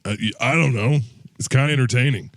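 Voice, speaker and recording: Casting: man playing weary, wry hero, gravelly mid-pitched voice, casual muttering, close dry audio drama sound. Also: quick pace, no echo, close to an everyday voice. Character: wry, tired, plain-spoken male hero.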